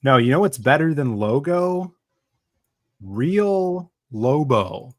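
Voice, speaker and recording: A man co-hosts a laid-back comics chat show, teasing the others and walking through clips. His voice sits at an ordinary middle pitch. The sound is close and dry. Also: sing-song, slow pace, no echo. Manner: wry, teasing, shows clips on screen. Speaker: podcast co-host.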